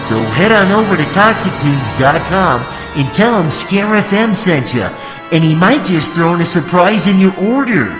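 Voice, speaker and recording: A man voicing a creepy, gleeful monster-show pitchman, hammy and theatrical, in a comic spooky radio ad. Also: sing-song, normal speed. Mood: gleefully creepy. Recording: echoey long reverb, music underneath.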